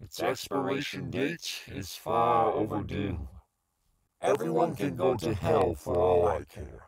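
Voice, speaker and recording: A man playing a vengeful, misanthropic scientist turned half-machine, delivering a deliberate, menacing log in an audio drama. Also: sits low, very animated, creaky, a loud crowd, full character voice, low-quality recording. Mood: bitter, vengeful.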